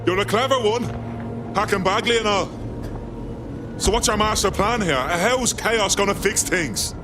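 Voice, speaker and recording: A high-voiced, defiant hacker activist. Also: male voice, measured pace, music in the background. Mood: wary, cheeky. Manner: blunt, cheeky, impassioned. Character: defiant male hacker activist, idealistic, scrappy. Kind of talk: video game voice acting.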